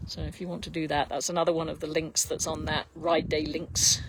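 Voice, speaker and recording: A man with a fairly high voice and plenty of creak chats off the cuff as a live race commentator. He rambles and often breaks off mid-thought. The recording is outdoors and very noisy. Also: level tone, fairly quick. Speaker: live stream commentator at a horse endurance race. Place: outdoors beside a horse trail.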